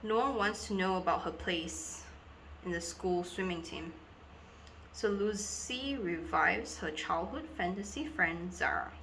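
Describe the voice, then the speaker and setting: A woman introduces acting scripts, reading their summaries aloud. Her pace is slow and her tone bright. Faint noise sits behind the voice. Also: on the low side, poor audio. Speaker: amateur actor presenting scripts.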